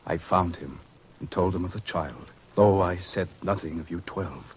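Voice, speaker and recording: Man playing a humble, low-voiced father. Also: moderate pace, lightly acted. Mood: earnest. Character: humble, grateful father of a healed girl. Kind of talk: old-fashioned radio drama acting. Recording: dull, hissy old radio recording.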